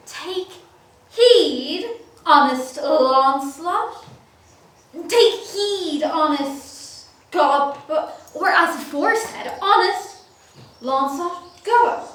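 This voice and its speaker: A woman playing a comic young male servant, talking through a lively stage soliloquy in an echoey hall from far off. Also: high-pitched, heavily acted. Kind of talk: stage acting.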